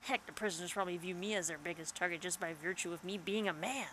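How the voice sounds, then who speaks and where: Bright-voiced woman reading a visual novel. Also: on the low side, quick pace, a little hiss or hum. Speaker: gaming channel host. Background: game music.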